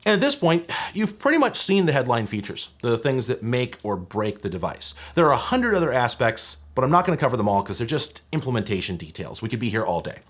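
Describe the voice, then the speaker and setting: A man narrates a tech explainer with very lively, swinging intonation. His pitch sits in a middle range. He is emphatic and wry, giving his opinions plainly as he walks through details. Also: fairly quick, hardly any pauses. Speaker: presenter of a retro tech review channel. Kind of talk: semi-scripted explainer video narration.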